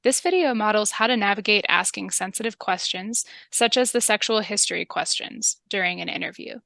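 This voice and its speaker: A woman narrating a training video and playing a calm, reassuring public health interviewer. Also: medium pitch, fairly quick, creaky, slight background noise.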